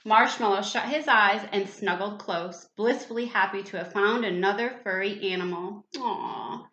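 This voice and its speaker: A woman's voice: medium pitch, normal speed, pushed and bright. A woman reading a children's storybook aloud, expressively, with little asides and questions to young listeners. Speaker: teacher reading a picture book aloud. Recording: echoey room, slightly dull sound.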